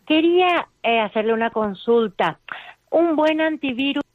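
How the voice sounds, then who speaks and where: A woman's voice: high voice, animated, a little slow, no echo. Hesitant older woman from Bilbao, lo-fi line. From Bilbao, Spain. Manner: hesitant, polite. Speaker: caller. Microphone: telephone. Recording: dull, lo-fi phone line, dry.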